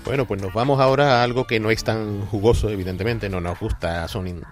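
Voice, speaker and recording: A man's voice: lowish voice, fairly quick, music in the background. A man co-hosting a gaming news show, chatting casually with hesitations and asides. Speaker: radio co-host.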